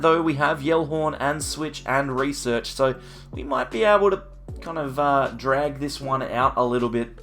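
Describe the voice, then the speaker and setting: Croaky man musing over card plays. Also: mid-range voice, fairly bright tone. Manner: thinking aloud, musing over each move with frequent ums. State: slightly croaky from hay fever. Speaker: card game channel host. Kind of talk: casual play-by-play commentary of his own online card game.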